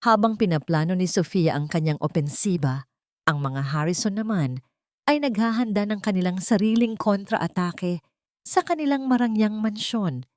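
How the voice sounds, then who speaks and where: A woman's voice: mid-range voice, lively intonation, normal speed, no echo, studio quality. A woman storyteller giving a dramatic scripted narration of a love story.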